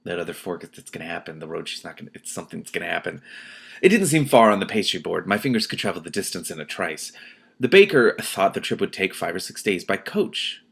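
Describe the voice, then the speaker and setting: A man's voice: deep voice, very animated, quick pace, vocal fry, fairly bright tone, breathy edge. A man reading a novel aloud expressively, breaking off to comment and exclaim in his own words. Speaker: reader and commentator, host of a read-aloud series.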